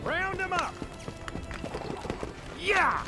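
High, plucky toy cowboy. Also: male voice, very slow, loud music behind the voice, heavily acted. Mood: spirited. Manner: earnest, excitable. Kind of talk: animated video game acting.

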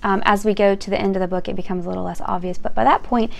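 A woman's voice: mid-range voice, fairly even intonation, very fast, gravelly, a little hiss or hum. A woman giving an encouraging, teacherly explanation of a children's book, with bits of reading aloud.

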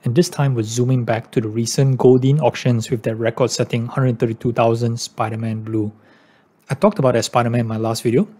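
A man's voice: medium pitch, a little mellow, husky. A man hosting a YouTube video, giving scripted commentary in an informal, matter-of-fact way. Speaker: YouTube channel host on card investing.

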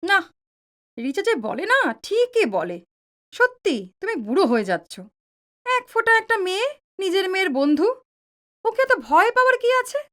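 A woman playing a caring, chiding middle-aged mother in an audio drama, with an expressive motherly delivery. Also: very high voice, normal speed, pauses often, heavily acted.

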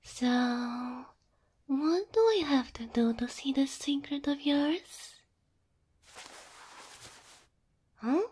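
A woman playing a gentle, affectionate cow girl girlfriend in an ASMR roleplay, breathy and often whispering, tender and full of wonder. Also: high voice, slow pace. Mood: soft, curious, trusting. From Slavic country.

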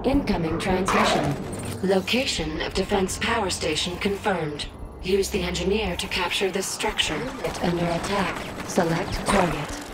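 A woman's voice: on the low side, normal speed, very noisy, close to an everyday voice. A woman voicing a cool, commanding alien war computer in a strategy game, making clipped, impersonal, formal announcements. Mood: cool, detached. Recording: loud game music behind.

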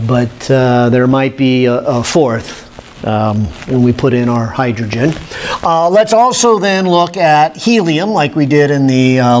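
Man, fifties, physics instructor, halting and unhurried, medium pitch, informal classroom demonstration, faint room noise. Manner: halting, thinking aloud. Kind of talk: informal demonstration lecture at a whiteboard. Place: indoor classroom lab. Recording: steady room noise, classroom camera audio.

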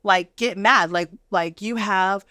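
A woman's voice: medium pitch, slow pace, sharp tone, no room sound. A middle-aged American woman telling personal stories emphatically in a studio interview, acting out the dialogue she quotes. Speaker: guest. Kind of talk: animated personal storytelling in a TV interview. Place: indoor TV studio.

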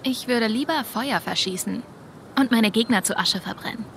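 A woman's voice: a little high, moderate pace, fairly bright tone, slight background noise. Wry young woman in a story game. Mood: friendly, warm. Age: young adult. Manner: dry, casual. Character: wry, friendly young woman, long separated from her brother. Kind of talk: naturalistic video game dialogue and inner monologue.

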